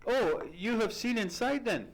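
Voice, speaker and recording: A man playing an earnest, easily astonished gentleman sidekick who narrates an old-time radio play in a formal storytelling manner. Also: very high-pitched, expressive, measured pace, slight background noise, heavily acted, rough recording. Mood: astonished, flustered. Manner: formal storytelling, exclaiming in surprise. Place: live theatre stage performance.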